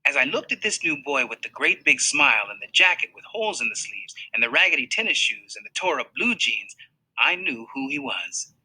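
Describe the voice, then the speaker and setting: A man reading a children's novel aloud in an expressive storytelling manner. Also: medium pitch, a little fast, slightly rough, poor audio. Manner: expressive storytelling, voices for characters. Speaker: reader.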